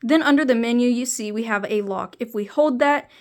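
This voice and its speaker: A woman's voice: fairly high pitch, fairly quick. A woman reviewing a product, explaining it step by step in a hands-on narration to camera.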